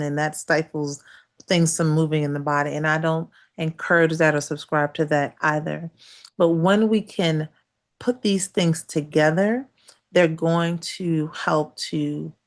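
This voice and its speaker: A woman's voice: low voice, creaky. An American woman giving a casual, educational livestream talk, speaking directly to listeners in a conversational way with rhetorical questions. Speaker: acupuncture practitioner hosting a live wellness talk.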